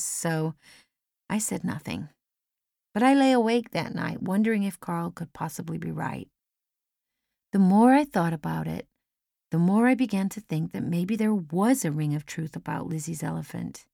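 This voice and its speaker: Woman, audiobook narrator, scripted storytelling, creaky edges, dry close studio sound. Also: on the low side, a little mellow, no echo. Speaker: audiobook reader.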